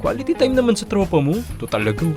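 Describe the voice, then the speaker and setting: A man's voice: high-pitched, huge pitch swings, fast, over music. A man acting a playful young office buddy in a Filipino audio drama, coaxing and teasing with a Filipino accent. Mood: playful, insistent.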